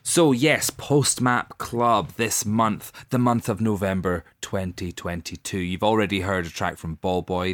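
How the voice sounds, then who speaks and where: Jokey male podcast co-host, very low voice, bone-dry sound, giggles a lot. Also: no room sound. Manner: jokey, giggly, playful swearing. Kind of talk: casual label podcast chat.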